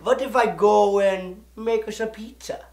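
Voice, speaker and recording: A performer playing an excitable, boastful, bloodthirsty First World War German fighter pilot in a comedy sketch. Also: mid-range voice, a little slow, echoey room, natural voice. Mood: sheepish, apologetic. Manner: boastful, excitable, storytelling with shouted outbursts.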